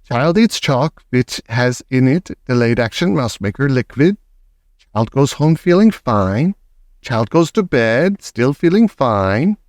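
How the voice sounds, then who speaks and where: A man voicing a gloating old female witch leader who shouts in broken grammar. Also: medium pitch, animated, slow, dry recording, clean studio sound.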